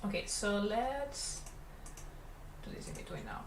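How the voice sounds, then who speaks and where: A woman's voice: medium pitch, measured pace. A woman from Catalonia with a Spanish Catalan accent and Catalan as her first language, chatting casually with her stream while she animates. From Catalonia, Spain. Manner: relaxed, rambling, talks to chat while working. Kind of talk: casual livestream chat while drawing. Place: animation studio room. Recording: roomy, faint background hum.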